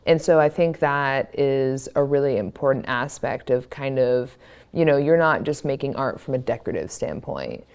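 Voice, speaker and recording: Very deep-voiced woman, conversational interviewer. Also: expressive, moderate pace, a little mellow, clean tone. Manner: engaged, affirming. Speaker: curator and interviewer.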